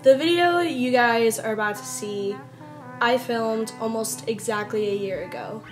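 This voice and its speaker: A woman's voice: a little high, unhurried, low-quality recording. A young woman talking candidly in a casual personal vlog, rambling a little and poking fun at herself. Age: young adult. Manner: candid, self-deprecating, rambling. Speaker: YouTube vlogger. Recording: background guitar music. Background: soft guitar music.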